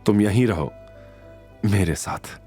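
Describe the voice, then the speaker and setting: A man's voice: fairly low pitch, takes it slowly, dark tone, soft background music. A man playing a caring, protective young man, earnest and coaxing, in an audio drama. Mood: hesitant, inviting.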